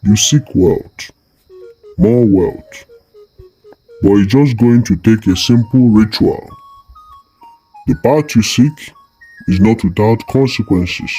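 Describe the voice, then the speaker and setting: A woman storyteller voicing a sinister, solemn old male herbalist who makes slow, ominous pronouncements in a folktale. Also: very deep voice, warm tone, slightly rough, soft background music, pitched below the performer's own voice, exaggerated voice, low-quality recording. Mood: grave, menacing. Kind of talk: storytelling character voice.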